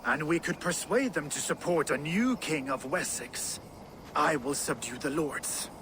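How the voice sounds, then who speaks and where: An actor playing an earnest, resolute warrior ally in a video game, speaking formally. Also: male voice, fairly high pitch, a little slow, noisy background, close to an everyday voice. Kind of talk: dramatic video game acting.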